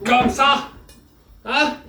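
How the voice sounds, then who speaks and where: A woman's voice: high voice, fairly even intonation, very slow, background noise. A woman with an Alsatian accent hosting a comedic cooking demonstration, joking and chatting straight to the viewer. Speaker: cooking video host.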